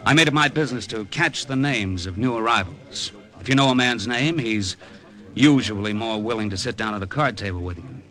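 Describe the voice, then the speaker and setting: An actor playing a wry, easygoing middle-aged American gambler, speaking in a laconic 1950s radio drama style. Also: male voice, fairly low pitch, a little fast, sharp tone. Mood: wry narration. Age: 40s. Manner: laconic, breezy, conversational. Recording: vintage radio drama recording.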